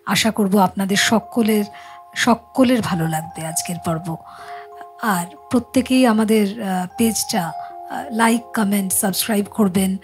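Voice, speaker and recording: A woman's voice: medium pitch, measured pace, pauses often. A woman hosting a casual music chat show, addressing guest and viewers.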